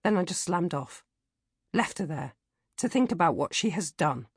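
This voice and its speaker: A British woman reading comic first-person audiobook narration in a droll, expressive manner. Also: fairly low pitch, moderate pace, husky, no room sound, clean studio sound. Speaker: author reading own audiobook.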